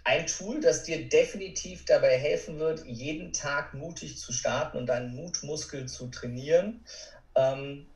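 A man's voice: on the high side, a little slow, a little mellow. A man giving an informal, emphatic motivational talk as a coach, addressing the listener directly. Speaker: podcast guest, courage coach. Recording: roomy echo, distant from mic.

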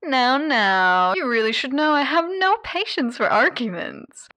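A sadistic lady demon in an ASMR roleplay, posing as a therapist. She is mocking and showy, with a highish voice that swoops dramatically. Her words are drawn out slowly and recorded dry and close. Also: animated, sharp tone, no room sound, exaggerated voice. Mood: manic glee. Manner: mocking, showy, drawn-out delivery with whispered asides and pet names. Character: sadistic, theatrical female radio demon playing hotel therapist. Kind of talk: ASMR character roleplay addressed to the listener.

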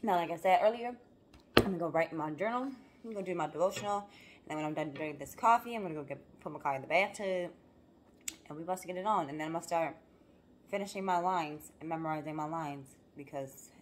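A young woman vlogging casually to her phone camera at home, talking emphatically and a little hoarse from rehearsals. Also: sits low, slight background noise. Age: young adult. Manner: animated, emphatic motivational talk. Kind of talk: casual vlog talk to camera. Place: home living room, then car. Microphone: phone camera held close.

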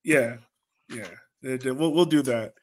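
A man's voice: medium pitch, unhurried. An American man in his thirties hosting a casual sports podcast over a video call. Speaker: podcast host. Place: small room at home, indoors.

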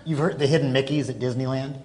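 A man moderates a live panel on stage. He chats casually with the audience at a middling pitch, with faint noise behind him. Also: steady tone, fast, darkish tone. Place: theater stage indoors.

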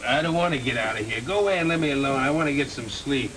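An actor playing a shifty, slangy small-time crook in 1930s film style, with an American accent. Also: male voice, medium pitch, fast, a bit bright, natural voice, poor audio. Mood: drunk, weary. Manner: slangy tough-guy talk. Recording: old 1930s film soundtrack, background murmur.

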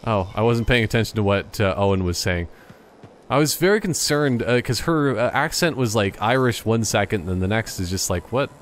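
A man giving casual gameplay commentary in a rambling, joking, halting way. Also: lowish voice, lively intonation, a little hiss or hum. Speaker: gaming commentator.

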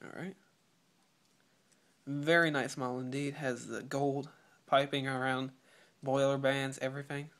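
A teenage boy chatting eagerly through a toy unboxing while getting over a cold, often clearing his throat, filmed on a phone at home. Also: mid-range voice, little pitch movement, a little slow, pauses often. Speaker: toy reviewer. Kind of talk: casual unboxing review. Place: home room with patterned rug. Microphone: phone camera held close. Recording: clear crinkling packaging noise. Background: loud crinkling plastic packaging.

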